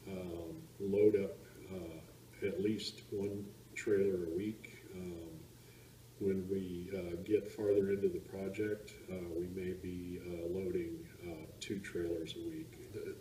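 A middle-aged man with a very low voice talks haltingly through an interview, pausing often on fillers. He sits at a distance from the camera mic, so his voice sounds roomy and echoey in a big warehouse. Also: a little mellow, a little hiss or hum. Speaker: project supervisor. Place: large warehouse indoors.

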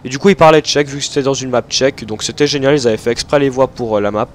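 A man's voice: mid-range voice, very fast. A young man with a blocked nose from hay fever, chatting casually and rambling over gameplay, with many fillers and self-corrections. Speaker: gaming video host.

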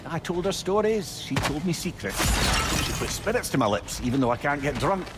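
A man's voice: on the high side, big pitch swings, a little fast, over loud music. An actor playing a chatty, wry, sentimental male companion in a video game, telling stories in a wordy, teasing way. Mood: coy. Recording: loud game ambience behind.

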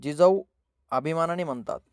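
A man narrating a scripted children's story as a storyteller, with measured, dramatic delivery in short phrases. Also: fairly high pitch, smooth, no echo, clean studio sound.

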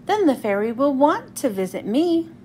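Eager little girl, played by a woman, storybook character: high, swooping, wide-eyed and expressive. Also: moderate pace, a little hiss or hum. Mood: determined, excited. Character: eager little girl who adores pink and fairies.

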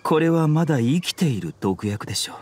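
An actor playing a composed, courteous young male physician in an anime game, polite, measured and gently enigmatic. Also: on the high side, animated. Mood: grave. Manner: polite, measured, softly teasing.

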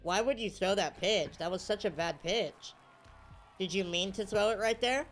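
A young man streaming a baseball game, talking casually and yelling at the plays, a bit congested with a slight cough, on a headset mic at home. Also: sits high, normal speed, over music. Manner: excitable, yelling at the game, slangy "bro". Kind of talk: casual gameplay commentary.

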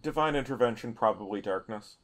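A man playing a tabletop role-playing game, speaking in a measured, wordy, dry way as he improvises dialogue. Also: lowish voice, a bit bright. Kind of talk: casual game chat and improvised in-character dialogue.

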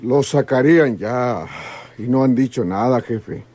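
A man plays an obedient gang driver in old radio-drama style. His voice is dark and relaxed, at middle pitch. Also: unhurried, dry recording, lightly acted. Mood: deferential. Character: obedient gangster getaway driver. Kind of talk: old-time radio serial acting.

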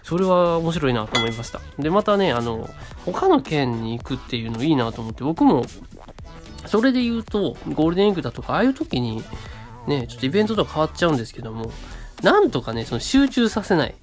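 A man's voice: a little high, big pitch swings, fast talker, faint music behind. A man around thirty co-hosting a casual radio show, talking in short phrases with frequent little tag words.

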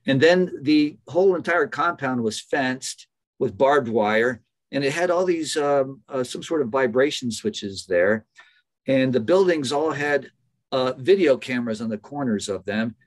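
A middle-aged American man speaks as an interview guest on a video call. His delivery is conversational and a little halting, like someone recalling old stories. He sounds often amused, with a medium-pitched voice. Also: expressive. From United States. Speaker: interview guest, biology professor. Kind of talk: casual anecdotal storytelling. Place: small office, indoors. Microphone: computer microphone on a video call.

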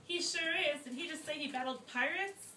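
In an echoey classroom, far from the mic, a woman plays a simple farmer puppet. The high voice sounds friendly and curious. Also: fairly quick, bright, forceful tone, a little hiss or hum. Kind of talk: amateur puppet-show acting. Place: classroom, performers behind a draped table. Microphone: distant camera.

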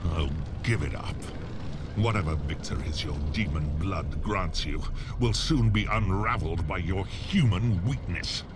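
A man's voice: low voice, heavy background noise. An actor playing a mocking demonic overlord in a video game, grandiose and contemptuous as he taunts. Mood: scornful taunting. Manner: slow, taunting, grandiose delivery. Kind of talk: video game villain acting.

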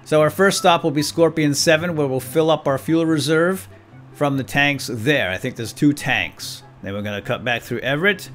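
A man's voice: fairly high pitch, moderate pace, a bit bright, soft background music. A man hosting a let's play with casual, folksy, chatty commentary and light role-play, talking directly to viewers.

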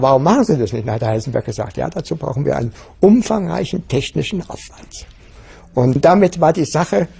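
An older man being interviewed, telling anecdotes in a halting, self-correcting way. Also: on the high side, vocal fry, warm tone, breathy edge. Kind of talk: spontaneous anecdotal recollection.

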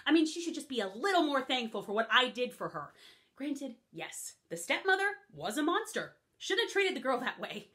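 A high, bright-voiced fairy godmother who gossips and grumbles about going unthanked, as a woman acts her out. Her pitch leaps around as she complains. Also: big pitch swings, moderate pace, exaggerated voice. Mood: aggrieved. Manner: chatty, complaining, indignant. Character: grumbling middle-aged female fairy godmother, put-upon. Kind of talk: comic monologue.

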